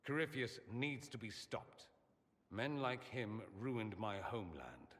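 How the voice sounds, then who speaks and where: A witty, self-admiring mage for a fantasy video game. His speech is arch and sardonic, with lively swings of pitch. The voice rings in a long, reverberant hall. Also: slow. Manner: theatrical, arch, sardonic delivery. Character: witty, vain mage from a fantasy empire, wryly self-aware. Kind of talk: dramatic video game dialogue.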